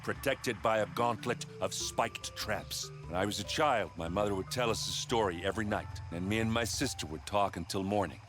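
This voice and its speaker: A man's voice: lowish voice, normal speed, hardly any pauses, lightly acted. An actor voicing a good-natured, boastful, food-loving male warrior in a comedic video game. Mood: eager storytelling. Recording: music behind the voice.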